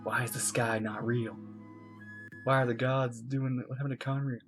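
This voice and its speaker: A man's voice: medium pitch, moderate pace, faint music behind. A man streaming a game, reacting casually and reading dialogue aloud with exclamations. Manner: animated, exclamatory.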